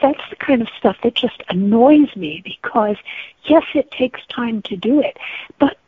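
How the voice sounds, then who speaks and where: Husky woman on a tinny phone-like line. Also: fairly high pitch, expressive, measured pace, no room sound. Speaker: interview guest, novelist. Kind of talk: conversational interview.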